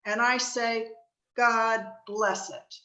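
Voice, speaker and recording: A woman playing a cheerful, warm-hearted young nephew in a dramatic reading, speaking earnestly and pleadingly. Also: on the high side, little pitch movement, extremely slow, heavily acted. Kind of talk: dramatic reading of a Victorian story. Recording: dull, echoey room sound.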